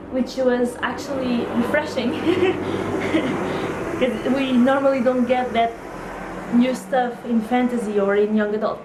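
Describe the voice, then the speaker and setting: A woman's voice: fairly high pitch, a little mellow, slight background noise. Chatty Portuguese woman in an echoey room. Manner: chatty, apologetic, laughs often, hesitant with fillers. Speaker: book vlogger. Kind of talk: casual chat to camera.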